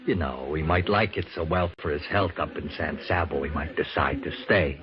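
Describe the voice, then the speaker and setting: An actor playing a crude, short-tempered gangster who talks in tough slang, in old-time radio drama style. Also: male voice, rumbling low, moderate pace, music in the background. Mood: smooth, leering. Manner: slangy tough-guy talk, threatening. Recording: noisy, muffled old radio broadcast.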